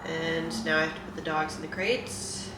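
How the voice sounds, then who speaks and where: A woman's voice: deep voice, little pitch movement, moderate pace. A young woman with a stuffed-up nose chatting casually and rambling to her vlog viewers in a self-deprecating way. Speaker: vlogger. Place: indoors at home, in a kitchen. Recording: echoey room, distant mic, loud noise.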